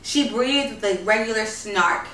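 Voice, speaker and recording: A woman's voice: a little high, measured pace, sharp tone, distant mic. A woman narrating a literary short story, reading aloud from the text. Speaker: story reader. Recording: roomy echo, noisy, off-mic home recording.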